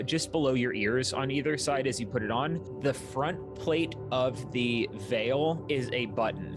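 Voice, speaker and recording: A man working as game master, narrating a tabletop game in an explanatory, descriptive way. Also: mid-range voice.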